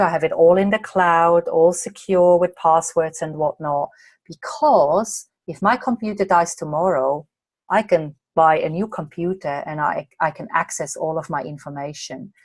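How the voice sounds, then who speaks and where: A slightly hoarse woman from Australia, a coach answering viewer questions in a chatty way during a live online masterclass late at night. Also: lowish voice, steady tone, a little slow, darkish tone, no room sound. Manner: chatty, reads out viewer comments and answers them. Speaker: coach and presenter.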